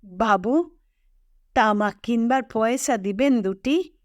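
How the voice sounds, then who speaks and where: A woman voicing a poor, humble village father in an audio story, proud of his little daughter, in drawn-out rustic dialect. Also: on the high side, no room sound, studio quality. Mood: humbly pleading.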